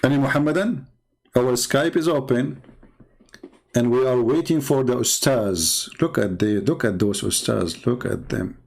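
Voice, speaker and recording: Gravelly, husky Arabic-accented man. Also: mid-range voice, unhurried, a little mellow. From Middle East. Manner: sarcastic, mocking, repeats phrases for emphasis. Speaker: live show host and religious apologist. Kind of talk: casual live-stream monologue.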